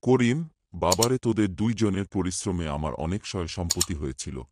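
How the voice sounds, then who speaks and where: A man's voice: low voice, normal speed, noisy background, natural voice. A man playing a remorseful older moneylender in a cartoon, pleading and confessing. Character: remorseful, humbled older male moneylender landlord. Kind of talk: cartoon voice acting.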